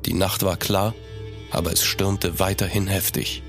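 A low-voiced, uneasy gentleman narrator. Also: level tone, creaky, over music. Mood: watchful. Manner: measured, suspenseful. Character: uneasy gentleman traveller recounting his horror tale. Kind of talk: scripted first-person narration, German audio drama.

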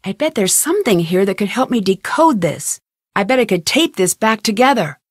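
A young woman detective in an adventure game, quick-witted and determined. She talks to herself inquisitively as she puzzles things out, in a medium-pitched voice. The sound is dry, with no room to it. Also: animated, breathy edge, dry recording. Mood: musing, focused. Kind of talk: scripted video game voice lines, thinking aloud.

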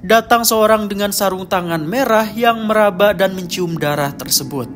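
Male narrator, high voice, scripted horror explainer, dramatic storytelling, faint music behind. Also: moderate pace. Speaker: host and narrator.

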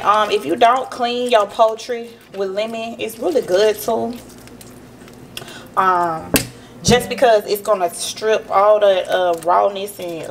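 A woman hosting a relaxed cooking tutorial in her home kitchen, chatting to her viewers with plenty of ums as she works. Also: mid-range voice, gravelly, slight background noise. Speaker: cooking vlogger.

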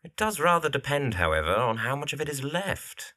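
An actor playing a devoted young Victorian architect, newly married, in a period audio drama. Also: male voice, medium pitch, sing-song, brisk, sharp tone. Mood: fond, gentle.

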